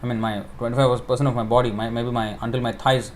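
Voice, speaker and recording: A man's voice: medium pitch, flat delivery, quick pace, a little hiss or hum. Man giving a chatty scripture talk. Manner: explains with homely examples, stops to correct himself, thinks out loud. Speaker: preacher giving a scripture class. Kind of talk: informal sermon.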